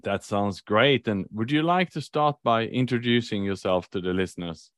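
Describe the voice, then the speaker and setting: A man's voice: on the low side, moderate pace, dry recording, studio quality. A man hosting a business podcast, asking a guest polite, welcoming questions. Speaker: podcast host. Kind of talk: podcast interviewing.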